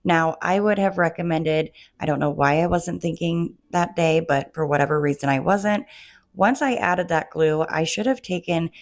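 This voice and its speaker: A woman's voice: fairly low pitch, normal speed, no room sound, clean studio sound. A woman narrating a DIY home decor tutorial in a chatty, casual way, talking to viewers as "you guys", while coming down with a cold and losing her voice.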